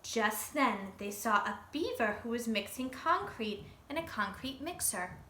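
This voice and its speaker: A woman's voice: a little high, expressive, measured pace, bright, forceful tone. A woman reading a picture book aloud to children like a teacher, expressively, with questions to her listeners. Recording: roomy echo, faint background noise.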